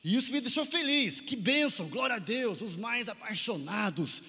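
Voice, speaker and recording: Male Brazilian preacher, bright and forceful, exhorting a youth conference in a reverberant hall. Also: extremely high pitch, expressive, moderate pace, breathy edge. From Santarém, Pará, northern Brazil. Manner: exhorting, asks listeners to repeat phrases and respond. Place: large indoor conference hall, amplified. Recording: echoey large hall with long reverb.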